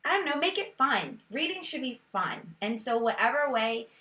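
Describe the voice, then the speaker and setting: A woman teacher demonstrating a children's read-aloud, moving between explanation and expressive, sing-song reading with questions for a child. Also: a little high, normal speed. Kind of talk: read-aloud lesson with reading tips for parents. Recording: roomy echo.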